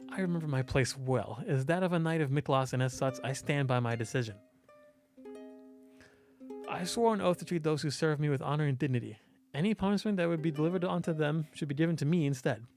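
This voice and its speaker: A man's voice: a little high, fast, darkish tone, faint music behind, natural voice. A man voicing a proud, stubborn, wounded young female knight commander from a visual novel. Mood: solemn, resolute. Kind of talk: visual novel character reading.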